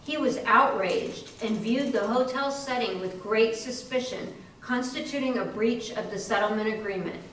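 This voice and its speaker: A woman's voice: on the high side, normal speed, few pauses, fairly bright tone, natural voice. A woman playing an ailing young working woman, resigned but resolute, in a readers theater narration. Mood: grave. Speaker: community theatre performer. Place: library readers theater performance, indoors. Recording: very echoey hall, distant, faint hiss.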